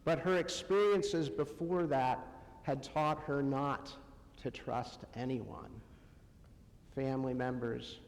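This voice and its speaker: Male preacher, unhurried and halting, fairly high voice, conversational sermon delivery in a long-reverb church space. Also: steady tone. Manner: halting, pausing often between short phrases. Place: church sanctuary, amplified to a congregation.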